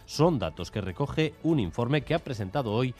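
A man anchoring a radio news bulletin, reading the headlines in a measured, formal way. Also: medium pitch, expressive, darkish tone, faint music behind. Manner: measured, formal newsreader delivery.

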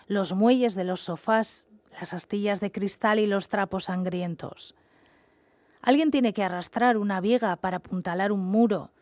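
A woman reading scripted text and verse aloud in a measured, narrated style, recorded in a studio. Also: mid-range voice, darkish tone, dry recording.

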